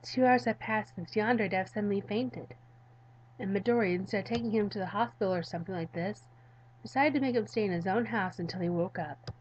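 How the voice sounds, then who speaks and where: A woman's voice: mid-range voice, fairly quick, a little hiss or hum. Woman gaming narrator, slightly lo-fi sound. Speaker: gaming YouTuber. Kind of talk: visual novel read-aloud and casual commentary.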